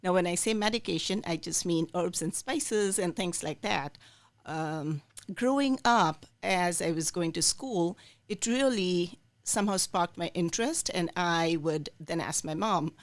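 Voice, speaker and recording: A woman's voice: on the low side, unhurried. A middle-aged woman from India, a dietitian, telling her life story as a podcast guest, with many fillers.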